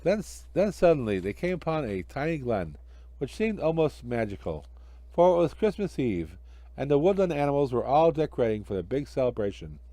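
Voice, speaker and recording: A man's voice: medium pitch, sing-song, brisk, warm tone, no echo. A man narrating a children's story expressively as an amateur storyteller.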